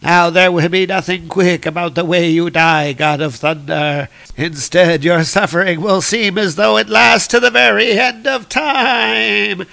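Man acting a menacing, gravelly god-killing villain. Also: high voice, fairly even intonation, bright, forceful tone, no room sound, pitched above the performer's own voice, full character voice. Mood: cruel threatening. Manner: slow, taunting, theatrical.

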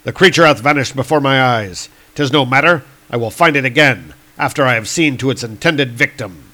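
Man playing a loud, noble thunder god with an archaic, heroic delivery. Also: brisk, lightly acted. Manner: booming, archaic heroic declaiming. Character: mighty male thunder god hero, bold and noble.

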